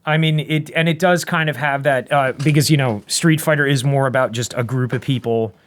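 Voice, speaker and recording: A man's voice: medium pitch, fairly even intonation, brisk. A man in a casual, rambling and enthusiastic chat, talking like a show host. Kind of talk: casual chat about video games and movies.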